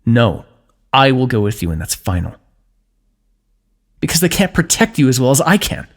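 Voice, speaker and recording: A man's voice: medium pitch, very animated, moderate pace. A man voicing a protective, lovesick warrior king in a fantasy ASMR roleplay, pleading earnestly with the listener. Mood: firm, protective.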